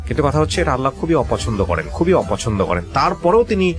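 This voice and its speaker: Fast-talking Bangladeshi man hosting, music behind. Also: medium pitch, sing-song. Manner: insistent, questioning, sermonizing; mixes English phrases into speech. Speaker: radio show host. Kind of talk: advising a guest on a life-story show.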